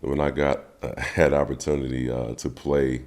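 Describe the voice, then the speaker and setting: A young man from New Jersey with a very deep voice, talking easily about his drumming as a podcast guest. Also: normal speed. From Trenton, New Jersey. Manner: relaxed, easygoing, many fillers. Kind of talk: casual interview talk.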